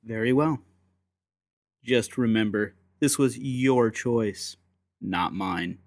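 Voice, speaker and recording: An actor playing a ruthless gentleman secret agent, speaking with deliberate, courteous menace in a dramatised audiobook. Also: medium pitch, a little hiss or hum. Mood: cold resolve.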